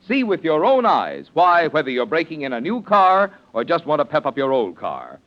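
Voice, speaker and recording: A man's voice: fairly high pitch, huge pitch swings, normal speed. A man announcing a 1940s radio commercial in an emphatic, salesmanlike manner. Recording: muffled vintage radio recording.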